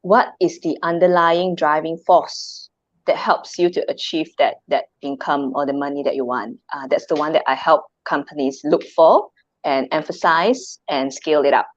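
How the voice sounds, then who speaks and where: A woman's voice: sits low, moderate pace, no echo. A woman in her thirties with a Malaysian accent, a guest chatting casually, laughing often and tossing rhetorical questions. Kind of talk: casual chat. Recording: dull lo-fi sound.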